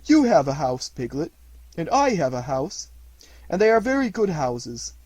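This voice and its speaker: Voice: mid-range voice, sing-song, moderate pace, darkish tone, husky, no echo. A storyteller voicing a cheerful, muddled young bear of little brain, happy and earnest in a slightly dreamy way. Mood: thoughtful. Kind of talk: storybook reading.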